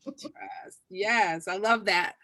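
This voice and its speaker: A woman's voice: mid-range voice, drawn-out words, sharp tone, no echo. A woman with a Texas accent moderating a panel discussion, upbeat and cheering on her guests.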